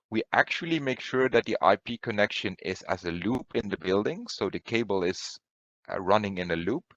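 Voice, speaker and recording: Low-voiced man presenting in dull, roomy audio. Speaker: product presenter. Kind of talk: webinar product presentation.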